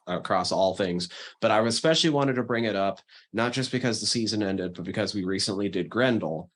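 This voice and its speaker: A man with a slightly low voice hosts a casual talk podcast. He is emphatic and enthusiastic in how he recommends things. His language is loose and sweary. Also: a bit bright. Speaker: podcast host. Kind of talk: casual chat, giving recommendations.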